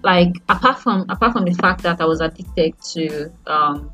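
A young Nigerian woman with a Nigerian accent, a podcast host talking casually and haltingly, full of fillers and restarts. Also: lowish voice, sharp tone, faint music behind, poor audio. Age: young adult. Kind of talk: casual chat.

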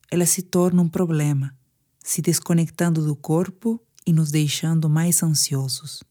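A woman with a Brazilian Portuguese accent guiding a sleep meditation in a soothing, hushed manner with pauses. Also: low voice. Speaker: meditation guide. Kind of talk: guided sleep meditation narration.

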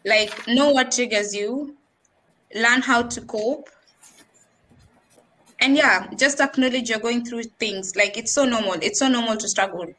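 A young Kenyan woman with a Kenyan accent talks casually on a podcast, sliding between English and Swahili slang. Her voice is bright and forward, sitting a little high. She sounds lively and chatty. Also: a little slow, long pauses, rough recording.

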